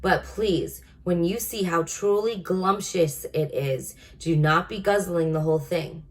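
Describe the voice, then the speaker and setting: Kindly storybook giant, read by a woman: gentle, earnest, dignified, innocent manner. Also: on the low side, a little slow. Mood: coaxing. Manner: earnest, innocent, quietly disapproving.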